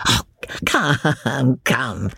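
A narrator playing a tipsy, boastful young gentleman, husky-voiced. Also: mid-range voice, lively intonation, very slow, no room sound, full character voice. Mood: sly, jovial. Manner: drunken, slangy, rambling, laughing at himself.

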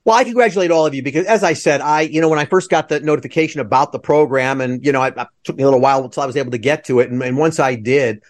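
A man's voice: mid-range voice, racing, hardly any pauses. A man hosting an interview podcast over a video call, talking in an effusive, rambling, digressive way. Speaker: podcast host.

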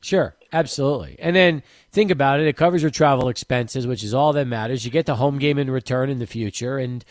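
A man's voice: medium pitch, fairly quick, hardly any pauses, no echo, clean studio sound. A man hosting a sports radio talk show, asking chatty, agreeable questions of his guest. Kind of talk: radio interview.

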